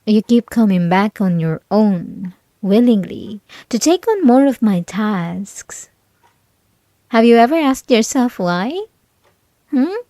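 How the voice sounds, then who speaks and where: Woman acting a slow, taunting young female bully. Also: medium pitch, big pitch swings, warm tone, no room sound. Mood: condescending, coaxing. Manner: taunting, condescending, teasing, with breathy whispered asides. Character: mocking, possessive young female bully, sweetly menacing. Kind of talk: ASMR roleplay, intimate scripted monologue to listener.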